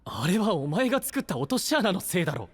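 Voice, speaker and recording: Brash, earnest young knight, very expressive. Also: male voice, high-pitched, brisk, heavily acted. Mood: calm, wry. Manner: blunt, casual rough masculine speech. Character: brash, earnest young male knight-to-be, rough-spoken but devoted. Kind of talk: anime-style voice drama acting.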